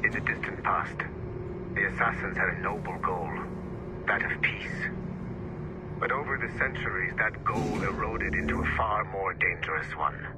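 An actor playing a cold, commanding game villain, speaking formally and gravely. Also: male voice, on the low side, moderate pace, lightly acted. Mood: solemn. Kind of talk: scripted video game cutscene. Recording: clearly noisy background.

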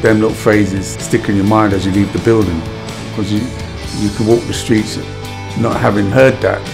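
A man's voice: lowish voice, steady tone, normal speed, gravelly. A middle-aged man, talking candidly and casually in a documentary interview. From London. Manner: candid, street-casual talk. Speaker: project member, musician. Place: indoor art room. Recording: music bed underneath.

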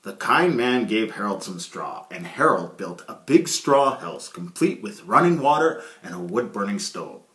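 A man's voice: fairly low pitch, very animated, measured pace, few pauses, a bit bright. A middle-aged man reading a children's story aloud as a storyteller, recorded on a phone indoors. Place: small bare indoor room. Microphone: phone at arm's length. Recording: echoey small room, phone mic.

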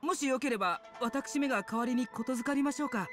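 Anxious, deferential young retainer. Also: male voice, very high voice, quick pace, music in the background. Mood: worried, deferential. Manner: humble, apologetic, worried. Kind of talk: Japanese anime game voice acting.

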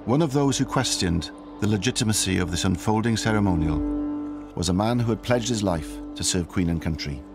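Very deep male documentary narrator. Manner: calm, measured delivery. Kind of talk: scripted narration.

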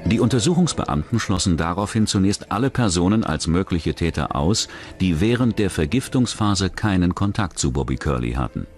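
A man narrating a scripted true-crime documentary in a measured, matter-of-fact manner. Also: sits low, expressive, faint music behind.

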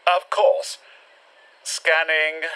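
An actor playing a dry, long-suffering robot butler in a radio serial, formal, deferential and quietly sarcastic. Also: male voice, on the high side, little pitch movement, very slow, clear, resonant tone, heavily acted. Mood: polite, uneasy. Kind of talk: retro radio serial acting.

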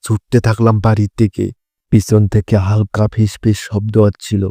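A man's voice: lowish voice, monotone, moderate pace, dark tone, no room sound. A man narrating a scripted horror story in the first person.

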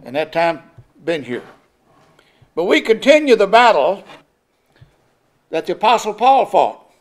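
Older man, high-pitched preacher, builds emphasis, chuckles now and then, pulpit mic. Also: sing-song, a little slow. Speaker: pastor. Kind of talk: sermon. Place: church sanctuary at a pulpit. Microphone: pulpit microphone.

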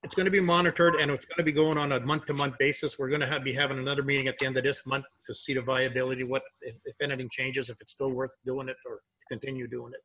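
A man's voice: mid-range voice, flat delivery, quick pace, few pauses, sharp tone, rough recording. A man speaking informally as mayor, chairing a council meeting over a video call. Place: remote video call from a room.

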